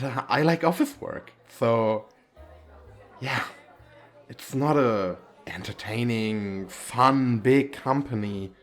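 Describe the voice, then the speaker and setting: A man acting a shy, nervous, wealthy businessman on a speed date in an ASMR roleplay, talking in a hesitant, rambling, self-deprecating way. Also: mid-range voice. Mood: nervous, candid. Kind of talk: ASMR roleplay, intimate flirty chat.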